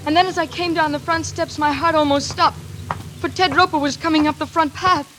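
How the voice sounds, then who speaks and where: An actress playing an anxious, trapped daughter in a 1940s suspense radio drama, tense and dramatic, halting when flustered. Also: female voice, high voice, fairly even intonation, fairly bright tone, a little hiss or hum. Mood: fearful. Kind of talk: 1940s radio acting, first-person suspense narration. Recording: vintage radio drama with music behind.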